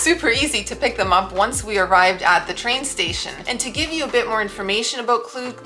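Young female travel vlogger, crisp bright tone, chatty explainer, hotel-room sit-down. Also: mid-range voice. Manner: chatty, informative, friendly. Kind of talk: explanatory vlog talk.

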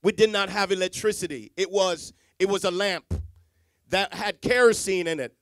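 High-voiced man preaching a dramatic sermon. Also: moderate pace, no room sound, clean studio sound. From Jamaica. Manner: dramatic swings from hushed to loud, repetitive emphasis. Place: church stage with Christmas decor, indoors. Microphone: handheld microphone.

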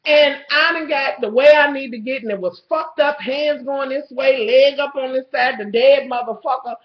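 Raspy young woman ranting on webcam. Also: high-pitched, moderate pace. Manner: loud, blunt and swearing, talking to viewers directly. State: coming down with a cold. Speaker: vlogger. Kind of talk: profane ranting storytelling. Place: small room at home, indoors. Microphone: webcam in front of the face. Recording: roomy, muffled webcam audio.

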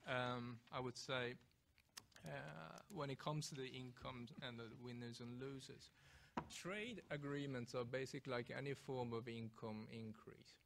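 A man with a Swedish accent speaks as a panelist, his voice gravelly with fry. He talks analytically and steadily, now and then restarting a phrase, into a table microphone in a lecture hall. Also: mid-range voice, unhurried, fairly bright tone, slight background noise. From Sweden. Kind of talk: panel discussion talk.

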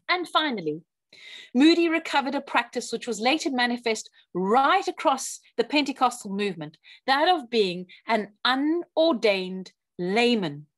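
A woman reading a written religious history aloud with emphatic, deliberate phrasing. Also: on the high side, lively intonation. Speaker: reader of a written church history talk.

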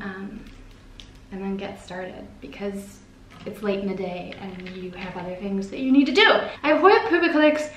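A woman's voice: mid-range voice, dull audio. A woman in her twenties hosting a casual plant how-to vlog, chatty and playful with self-mocking asides, seated at a table in a plant-filled living room. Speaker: plant vlogger, host. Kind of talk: casual chat with how-to tips. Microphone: camera at a distance, seated at a table. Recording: roomy echo.

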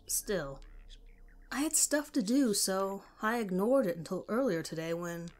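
A woman's voice: medium pitch, lively intonation, unhurried, a little mellow. A woman reading a scripted first-person story as a narrator.

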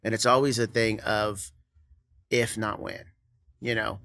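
A young man with a bright, crisp voice talks through a close studio mic on a boom arm, dry and close with no room sound. He is easygoing and loose, laughs often, and his sentences trail off into "you know". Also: steady tone, measured pace, no echo. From Kansas. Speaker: songwriter and producer guest. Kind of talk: casual interview chat. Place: studio set with brick wall and couch.

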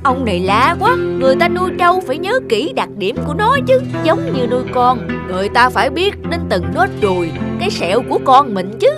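A man's voice: extremely high pitch, sing-song, fairly quick, hardly any pauses, over loud music. A man playing a sly, blustering middle-aged buffalo thief in a cartoon folk tale. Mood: defiant. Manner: brazen, boastful, argumentative.

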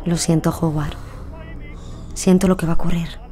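A woman's voice: on the low side, level tone, moderate pace, dark tone, faint music behind, close to an everyday voice. An actress playing a young, sensible aristocratic lady in an audio drama, soothing and earnest. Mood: gentle, earnest. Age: young adult.

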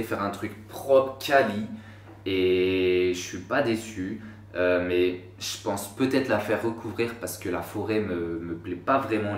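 Young man, casual vlogger, slangy and rambling; lower voice, roomy sound, mic set back. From France. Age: 20s. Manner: slangy, rambling, self-mocking asides. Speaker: YouTuber. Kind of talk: casual vlog talk to camera. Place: small room indoors. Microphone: camera a few feet away. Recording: roomy, slightly distant sound.

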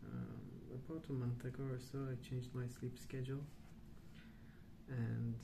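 Soft-spoken, creaky man rambling slowly. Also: mid-range voice, level tone, noisy background. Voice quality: soft, hushed, at times near whisper. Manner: unhurried, hesitant, musing. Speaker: host of a colouring ASMR channel. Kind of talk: soft-spoken ASMR rambling while colouring. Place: indoors at a wooden table.

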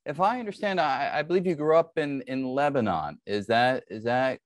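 A man's voice: mid-range voice, animated, normal speed, dry recording, clean studio sound. A middle-aged man hosting an interview over a video call, asking long questions and giving brief responses. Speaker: interview host. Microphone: video call webcam.

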